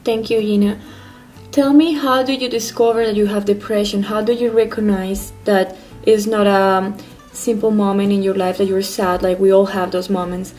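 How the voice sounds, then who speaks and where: A woman interviewing a guest, asking gentle, probing questions. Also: medium pitch, level tone, moderate pace, soft background music.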